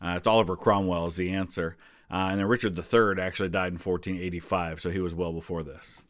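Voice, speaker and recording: A man's voice: low voice, steady tone, fast, a bit bright, no room sound, clean studio sound. A middle-aged American man giving casual history commentary, chatty and inclined to explain things with little digressions. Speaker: history video host.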